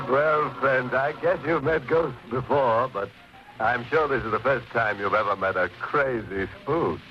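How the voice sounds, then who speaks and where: An actor playing a gleefully macabre radio horror host in sardonic, mock-friendly, chuckling 1940s style. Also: male voice, mid-range voice, animated, takes it slowly. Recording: dull 1940s radio recording with music.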